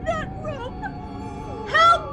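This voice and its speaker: An actress playing a nagging, self-pitying, fearful wife in a melodramatic 1940s radio drama style, shrill and complaining. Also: female voice, very slow, heavily acted. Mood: accusing, desperate. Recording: old 1940s radio recording, loud music.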